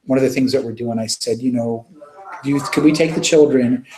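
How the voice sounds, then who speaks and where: A man speaking as an interview guest, recounting experiences in an earnest, halting, informal conversational way. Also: medium pitch, darkish tone, rough recording. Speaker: interview guest, children's music charity worker.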